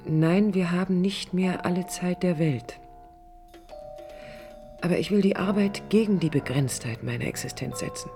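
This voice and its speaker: A woman's voice: on the low side, moderate pace, mellow, faint music behind, close to an everyday voice. An actress playing an idealistic, stubborn young architect in a radio drama, thoughtful, intimate and earnest in her delivery. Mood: reflective, determined. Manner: intimate, thoughtful, earnest, at times dropping to near whisper. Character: idealistic young female architect, reflective yet stubborn and defiant. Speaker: lead actor and first-person narrator of a radio play. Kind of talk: 1980s East German radio drama acting, introspective monologue.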